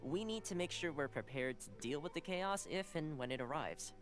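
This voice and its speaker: A man plays an easygoing young envoy in a video game. His delivery is courteous and diplomatic, with lively rises and falls over faint background music. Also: a little high, moderate pace, close to an everyday voice. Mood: explaining, diplomatic. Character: friendly, diplomatic young male household envoy. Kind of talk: game dialogue. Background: soft game music.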